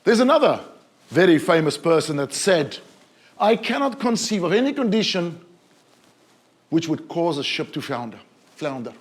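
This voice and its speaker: A man from South Africa giving a keynote talk at a conference, measured and questioning, slowing down to stress key words. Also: fairly high pitch, very animated. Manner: measured, questioning, slows for emphasis. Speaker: conference speaker. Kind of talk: keynote presentation. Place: conference hall.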